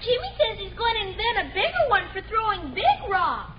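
A female performer playing a stubborn, excitable little American girl who gushes and whines in a 1950s radio sitcom. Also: extremely high pitch, a little fast. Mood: gushing excitement. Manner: gushing, whiny pleading. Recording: muffled noisy old radio, audience behind.

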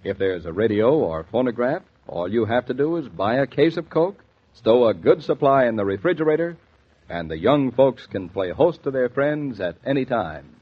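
A man with a husky voice announcing in a genial, polished 1940s sponsored radio style. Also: mid-range voice, lively intonation, normal speed. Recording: tinny, band-limited 1940s radio transcription.

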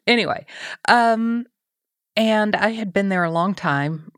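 A woman's voice: mid-range voice, slow, clear, resonant tone, dry recording, studio quality. A middle-aged woman hosting a casual chat, speaking in a reflective, encouraging way.

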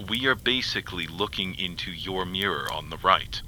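A very deep but bright-edged male voice plays an earnest inquisitor, acted by a man. He explains patiently and with grave seriousness. Faint noise sits behind him. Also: expressive, moderate pace, heavily acted, rough recording. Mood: explaining. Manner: earnest, explanatory, then urgent. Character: grave male inquisitor, a time-traveling guide.

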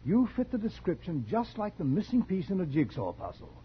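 Casting: genteel scholar-blackmailer, vintage radio thriller, highish lilting voice, polite menace, muffled old recording. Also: male voice, sing-song, a little fast, slightly rough, exaggerated voice. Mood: smug, accusing. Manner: polite, precise, insinuating. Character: scholarly male collector, genteel, sly, quietly menacing blackmailer. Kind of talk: 1940s radio drama acting. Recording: dull, slightly noisy 1940s radio recording.

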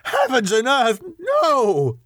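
A passionate young English lord, acted by a male narrator in a gothic storybook reading. His voice rides high and strained with anguish and outrage, recorded dry and close. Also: wildly swooping pitch, slow, fairly bright tone, no room sound, heavily acted.

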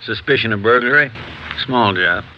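A man's voice: mid-range voice, moderate pace, bright, forceful tone. A noisy, muffled vintage radio recording of a police officer. He is helpful and plain-spoken, with a mid-range voice in 1940s drama style. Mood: matter-of-fact.

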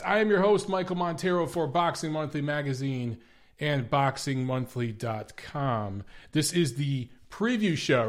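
A man hosting a solo boxing podcast, talking casually and earnestly to his listeners with a slightly stuffy, congested voice. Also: on the high side. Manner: conversational, earnest, addresses listeners as guys. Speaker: podcast host.

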